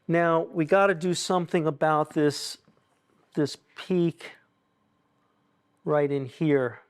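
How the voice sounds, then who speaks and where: Male, higher-pitched voice, informal workshop leader, halting, self-questioning, explains as he goes. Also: steady tone, clear, resonant tone. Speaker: acoustics consultant leading a tuning workshop. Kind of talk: informal live technical demonstration, thinking out loud.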